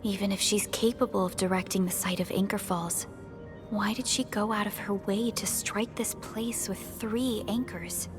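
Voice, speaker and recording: A woman voicing a calm, formal young company supervisor in a video game. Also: medium pitch, normal speed, natural voice. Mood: pensive. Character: composed young female industrial company supervisor. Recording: faint music behind the voice.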